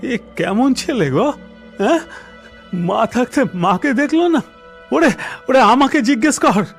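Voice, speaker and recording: An actor playing an indignant, emotional orphaned worker in a melodramatic cartoon, with a gravelly voice. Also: male voice, very high-pitched, expressive, unhurried, soft background music, exaggerated voice. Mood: indignant, moved.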